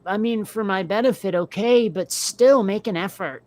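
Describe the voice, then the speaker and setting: A woman's voice: medium pitch, moderate pace, darkish tone, no echo. A woman from Vermont with a faint New England accent, chatting casually as co-host and filling her talk with "like" and side remarks. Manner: chatty, many "like"s and asides. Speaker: podcast co-host. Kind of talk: casual chat.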